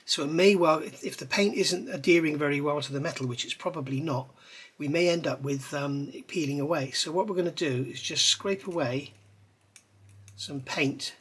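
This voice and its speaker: A man's voice: fairly high pitch, moderate pace, slightly rough. A middle-aged man explaining a scale model build at his workbench, chatty and rambling as he works. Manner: relaxed, rambling, talks while working with his hands. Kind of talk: hands-on tutorial with chatty asides. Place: indoor hobby workbench. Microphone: overhead camera on workbench.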